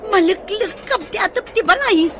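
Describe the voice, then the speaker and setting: A woman's voice: extremely high pitch, expressive, moderate pace. A woman with an Ilocano accent acting an exasperated, nagging wife and mother in a radio drama. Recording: noisy ambience behind the voice.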